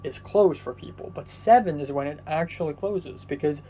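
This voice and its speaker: A man's voice: fairly high pitch, normal speed, dark tone, a little hiss or hum, rough recording. Young man rambling through a story. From Hong Kong. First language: Cantonese. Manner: rambling, frequent fillers and restarts; swears casually. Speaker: vlogger. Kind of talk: casual storytelling monologue.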